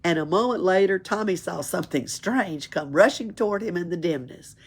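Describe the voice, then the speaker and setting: A woman with a husky voice reads a children's story aloud in a dramatic, emphatic way. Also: on the low side, expressive, moderate pace. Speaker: library story reader. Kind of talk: expressive storybook read-aloud.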